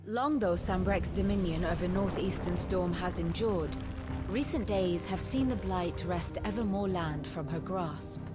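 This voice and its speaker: A learned woman tutor from a fantasy game , played by an actress, speaks with measured, faintly wry eloquence. Her phrasing is formal and deliberate, and loud cinematic music swells behind her. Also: medium pitch, lightly acted. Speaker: lore tutor character. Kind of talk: cinematic fantasy game acting, expository narration.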